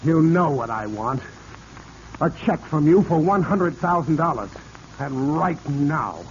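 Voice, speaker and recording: An actor playing a smooth-talking, calculating male swindler in a 1940s radio drama. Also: a little high, lively intonation, a little slow. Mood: cold, threatening. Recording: dull, noisy vintage radio recording.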